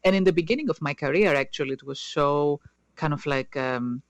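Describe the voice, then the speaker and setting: A woman's voice: low-pitched, fairly quick, dry recording. Hesitant woman, dry sound. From Greece. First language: Greek. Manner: hesitant, many fillers and restarts. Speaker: guest. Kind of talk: casual interview conversation.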